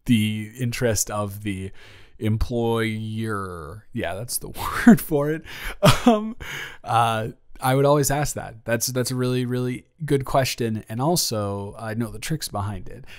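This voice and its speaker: A man acts out a friendly male office boss with a mid-range voice. He speaks in a halting, conversational way, restarting his phrases as he goes. It is an intimate audio roleplay, addressed to a new intern with a flirty, slightly nervous excitement. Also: medium pitch, smooth, natural voice. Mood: friendly, teasing, then earnest, enthusiastic. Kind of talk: improvised romantic audio roleplay, spoken to the listener.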